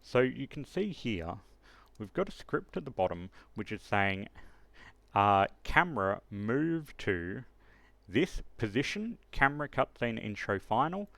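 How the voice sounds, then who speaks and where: A man's voice: medium pitch, very animated, a little slow, vocal fry, fairly bright tone, no room sound. A man narrating an unscripted step-by-step screen tutorial, instructing and thinking aloud as he works. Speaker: tutorial host.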